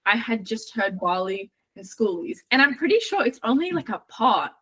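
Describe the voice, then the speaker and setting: A woman from Australia, co-hosting a podcast and talking in a halting way full of "like". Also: fairly high pitch, sing-song, a bit bright, slightly rough. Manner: halting, many "like" fillers. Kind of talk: casual podcast chat.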